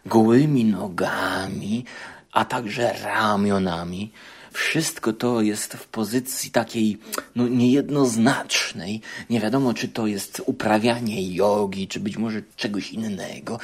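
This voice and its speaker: Husky, theatrical man. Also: lowish voice. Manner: theatrical, mock-earnest, exclamatory. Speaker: podcast guest. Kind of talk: comic opinion commentary.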